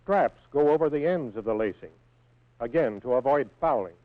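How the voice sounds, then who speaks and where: A man reading scripted instructional narration for a training film in a measured, precise manner. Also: medium pitch, lively intonation, dry recording. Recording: tinny band-limited old film soundtrack.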